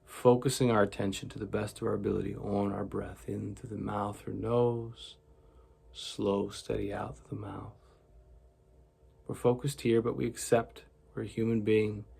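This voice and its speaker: A man leading a guided meditation in a gentle, soothing, deliberate way, with a gravelly, husky voice. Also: on the low side, little pitch movement.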